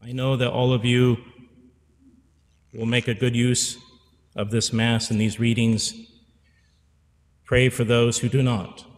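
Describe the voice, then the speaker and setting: A man's voice: medium pitch, level tone, takes it slowly, long pauses, big-room sound. A man in his forties, a priest giving an earnest pastoral sermon at a pulpit microphone, repeating phrases for emphasis. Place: church sanctuary. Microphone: stand mic at pulpit.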